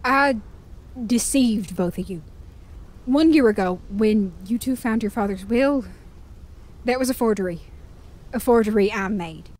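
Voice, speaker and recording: A woman's voice: on the high side, unhurried, long pauses, darkish tone. A woman playing a Wild West con artist with an earnest, dramatic delivery in an audio drama. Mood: remorseful. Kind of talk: western audio drama acting.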